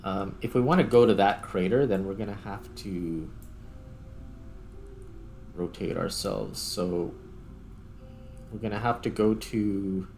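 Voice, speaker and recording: A man's voice: fairly low pitch, slow, creaky, long pauses, a little mellow, faint music behind. A man giving casual gameplay commentary, thinking aloud in a tentative, self-questioning way as he narrates each step. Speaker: gaming video host.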